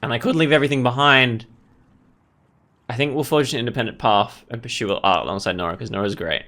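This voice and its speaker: A man's voice: medium pitch, quick pace, bright, forceful tone. A man hosting a gaming video, giving casual, rambling commentary as he thinks aloud. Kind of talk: casual let's play commentary.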